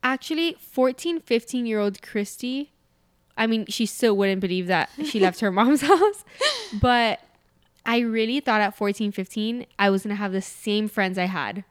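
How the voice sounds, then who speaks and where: A woman's voice: mid-range voice, normal speed, clean tone. A woman in her twenties chatting casually with a friend, rambling and saying "like" a lot, with some playful teasing. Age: 20s. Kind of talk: casual chat.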